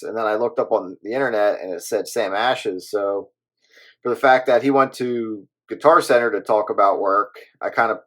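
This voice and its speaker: An American man chatting casually in a low-key, hesitant way as he explains things. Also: fairly bright tone. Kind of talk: casual CB radio chat.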